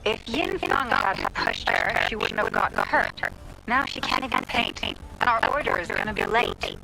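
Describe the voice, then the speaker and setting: A woman's voice: on the high side, wildly swooping pitch, normal speed, vocal fry, heavy background noise, exaggerated voice. A woman playing a callous, complaining character in a video game, heard on a covert audio recording. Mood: annoyed, callous.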